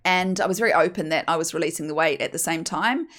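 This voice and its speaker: New Zealand woman, 40s, slightly low pitch; candid, chatty storyteller hosting her own podcast. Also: fast talker, fairly bright tone. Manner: candid, self-mocking, chatty with fillers. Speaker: podcast host, hypnotherapist. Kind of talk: personal storytelling monologue.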